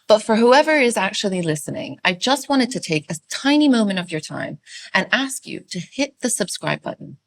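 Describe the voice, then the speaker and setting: A woman's voice: on the low side, no room sound. A woman in her thirties hosting a podcast, friendly and sometimes hesitant as she searches for words. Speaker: podcast host. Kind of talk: podcast interview.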